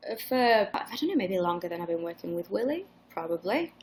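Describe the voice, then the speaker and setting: A woman's voice: on the low side, very animated, fast talker, a little mellow. A woman in her twenties with a mixed Northern English accent, chatting casually with fans in a live Q&A, rambling and swearing with many restarts. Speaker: singer hosting a live fan Q&A. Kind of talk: casual livestream chat.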